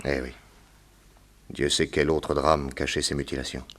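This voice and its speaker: An actor playing a shrewd, commanding detective in a crime radio drama, authoritative with a wry, knowing manner. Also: male voice, very deep voice, flat delivery, measured pace, fairly bright tone. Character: shrewd, commanding male detective, coolly ironic.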